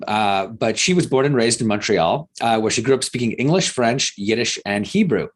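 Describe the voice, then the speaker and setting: A man's voice: medium pitch, slightly rough. Guy moderating a memorial panel, reading bios, lots of uh's, video call sound. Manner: reads bios with frequent filler sounds. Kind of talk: introducing panelists at a memorial event.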